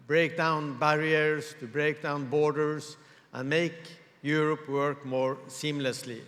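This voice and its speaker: A man from Norway gives a formal conference presentation in English, measured and pausing often to find his words. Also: on the high side, fairly bright tone. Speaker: conference speaker. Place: large conference hall. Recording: reverberant hall with long echo.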